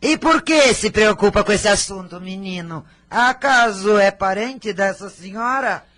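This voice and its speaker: A woman playing a bossy, spiteful, miserly aunt in a radio drama, with a sharp, scolding and demanding manner. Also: medium pitch, animated, measured pace. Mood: suspicious, irritated.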